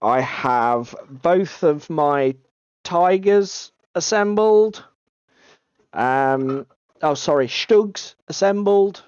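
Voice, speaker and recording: A man with a strained, gravelly voice, co-hosting a casual hobby chat and poking fun at himself. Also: a little high, animated, drawn-out words, darkish tone, no room sound, highs cut off. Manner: chatty, self-deprecating, swears casually. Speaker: co-host of a tabletop hobby stream.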